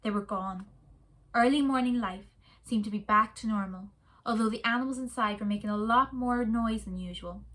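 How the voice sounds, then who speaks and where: A woman reading a children's story aloud in a soft, expressive way. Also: on the high side, normal speed.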